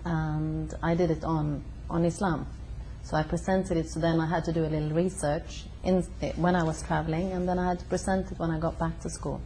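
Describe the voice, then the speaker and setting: A woman from Sweden, a guest in an interview at her home, who speaks haltingly with many fillers. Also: low voice, warm tone, a little hiss or hum, low-quality recording. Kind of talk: conversational interview.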